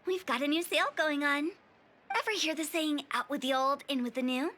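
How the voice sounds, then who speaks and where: A woman's voice: sits high, brisk, sharp tone. A woman voicing a playful, eccentric young funeral parlor director, theatrical and teasing, in an anime game. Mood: playful salesmanship. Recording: game music under the voice. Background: game music.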